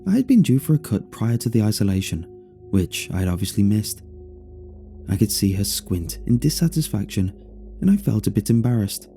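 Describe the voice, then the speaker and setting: A man's voice: lowish voice, big pitch swings, normal speed, creaky, mellow, faint music behind. A man reading a scripted first-person horror story as its narrator.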